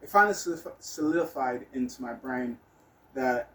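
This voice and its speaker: A man's voice: medium pitch, expressive, brisk, fairly bright tone. A man telling personal stories in a casual, rambling monologue, blunt and candid, with some swearing. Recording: noticeable background noise.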